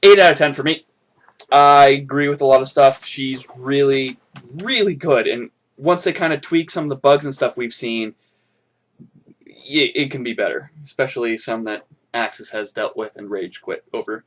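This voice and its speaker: A man's voice: medium pitch, normal speed, a bit bright. A man co-hosting a gaming podcast in casual chat, explaining things in a loose, rambling way. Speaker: podcast co-host.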